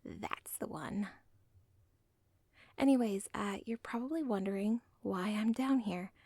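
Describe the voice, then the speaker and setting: A young woman voicing an obsessive villain's daughter in an ASMR roleplay, rambling in soft whispered asides that break the fourth wall. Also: medium pitch, unhurried, slightly rough, dry recording, studio quality. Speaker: audio roleplay performer.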